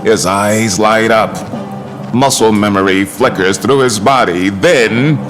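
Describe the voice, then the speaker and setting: A man's voice: fairly low pitch, slow. A man performing as the grave, dramatic narrator of a monster audio drama. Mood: suspenseful. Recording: music under the narration.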